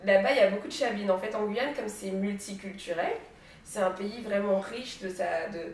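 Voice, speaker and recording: A woman's voice: medium pitch, normal speed, a little mellow, slight background noise. Distant echoey young woman chatting. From French Guiana. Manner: chatty, frequent hesitations. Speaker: association member in discussion. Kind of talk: casual conversation. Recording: echoey room, distant mic.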